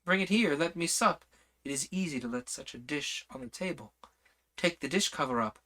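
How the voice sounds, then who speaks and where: Man doing a soft, cooing gentle queen. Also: a little high, lively intonation, normal speed. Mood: reciting.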